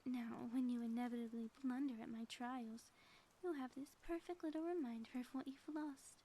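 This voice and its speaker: A woman playing a sly, theatrical supervillain inventor in an ASMR audio roleplay, speaking softly with pompous, taunting phrasing and often dropping toward a whisper. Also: sits high, fairly even intonation, moderate pace, slight background noise. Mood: gloating, menacing. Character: gloating female supervillain inventor, sly, theatrical, secretly smitten.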